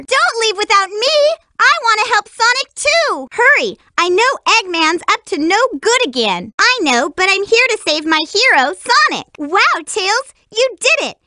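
A woman voicing a cute, energetic young girl hedgehog for a video game, sweet but feisty and lovestruck, with a perky, emphatic girlish delivery. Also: very high voice, no echo, studio quality, full character voice. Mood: determined, perky.

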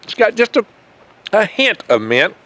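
A man's voice: high voice, sing-song, measured pace, bright, forceful tone, poor audio. An older man hosting a foraging vlog outdoors in his garden, talking in a rambling, folksy, chatty way with small jokes and stumbles, recorded on a handheld camera mic held close. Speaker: foraging channel host. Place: outdoors in a garden among pine trees.

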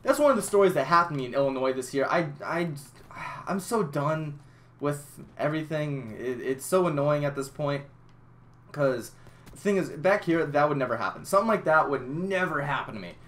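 A man's voice: a little high, a bit bright. A young man from Massachusetts with a headset mic in a small room, telling a rambling, swear-filled story over game footage. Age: young adult. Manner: rambling, frequent swearing and restarts. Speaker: gaming YouTuber. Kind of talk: casual storytelling over gameplay.

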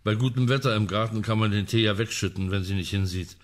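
Actor playing a dry, sardonic old colonel. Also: male voice, lowish voice, lightly acted. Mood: sardonic disgust. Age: older. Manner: formal, ironic, deliberate. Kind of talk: German radio crime drama acting.

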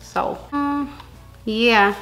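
Chatty young woman, fairly high voice. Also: extremely slow, poor audio. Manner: chatty, addresses viewers as baby girl. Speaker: dental hygienist vlogger. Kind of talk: casual vlog chat. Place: car and home living room. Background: soft background music.

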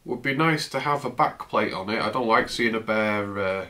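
A man in his fifties, croaky from a flu, chatting casually through an unboxing in his home office, recorded on a camera's built-in mic. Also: on the low side, normal speed. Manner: relaxed, chatty, trails off. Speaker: tech vlogger. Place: home office, indoors, seated at a desk.

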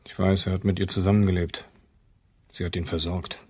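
Low, husky, blunt lighthouse keeper. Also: male voice, little pitch movement, brisk, darkish tone. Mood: scornful. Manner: blunt, disdainful. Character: blunt, scornful male lighthouse keeper, ex-navy. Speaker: actor in an audio drama. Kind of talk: German crime radio play acting.

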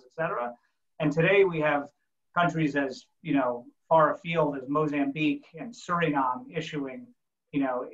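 Casting: male moderator, middle-aged, medium pitch, wordy and halting, on a very roomy video call. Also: a bit bright, muffled recording. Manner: wordy, halting, frequent "you know". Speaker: panel moderator. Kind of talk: conversational, long-winded questioning.

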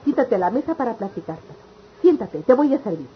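High-voiced, devoted Mexican mother. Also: very animated, fairly quick. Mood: tender, hopeful. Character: grateful, devoted village mother and schoolteacher. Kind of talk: old Mexican radio soap acting. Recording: muffled, noisy old radio recording.

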